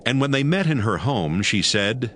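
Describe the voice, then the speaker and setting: A man narrating scripture as a scripted, dramatized audiobook in a measured storytelling style. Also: medium pitch, sing-song, a bit bright, a little hiss or hum. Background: prominent music.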